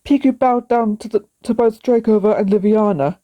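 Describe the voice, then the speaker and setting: A woman's voice: medium pitch, normal speed, dry recording, dull audio. A woman narrating a story aloud, sometimes stumbling and repeating words.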